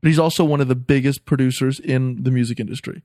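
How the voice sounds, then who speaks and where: A man's voice: medium pitch, fairly even intonation, fast talker, mellow, no echo, clean studio sound. A man speaking as a comedian guest on a podcast, chatting casually with slangy enthusiasm. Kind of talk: casual chat.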